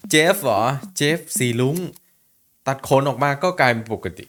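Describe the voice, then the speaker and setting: A young adult man giving casual, excitable reaction commentary on an animation, exclaiming often and speaking in slang. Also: medium pitch, normal speed.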